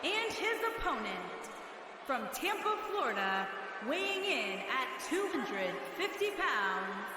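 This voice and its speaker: A woman's voice: big pitch swings, takes it slowly, far from the mic, a loud crowd, very noisy, exaggerated voice. A woman playing a grand arena ring announcer in a wrestling game, with a stretched, ceremonial delivery. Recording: booming echoey arena PA from afar.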